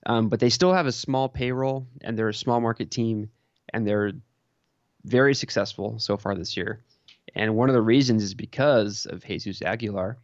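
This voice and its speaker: A man with a fairly low voice on a slightly dull, lo-fi recording with little room sound. He chats informally, like a podcast co-host talking sports and collecting. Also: moderate pace, no room sound. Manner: informal, conversational. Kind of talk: casual sports and hobby chat.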